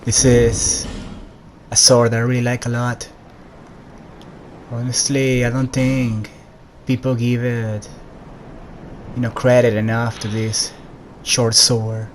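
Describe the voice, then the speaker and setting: A man's voice: mid-range voice, level tone, slow pace, pauses often. A man giving casual, laid-back, conversational commentary over his own video game playthrough. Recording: noisy home gameplay recording.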